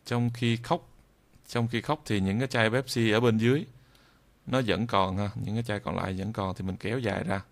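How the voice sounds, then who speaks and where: A man giving an unscripted software tutorial in a hesitant, halting way, often repeating phrases. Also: fairly low pitch, steady tone. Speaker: video tutorial presenter.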